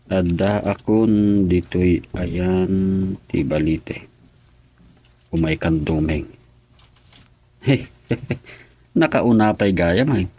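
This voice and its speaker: A man's voice: deep voice, very slow, long pauses, warm tone, breathy edge, full character voice. A man playing a cheerful, lighthearted son-in-law in a comic radio drama, chuckling often. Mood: amused.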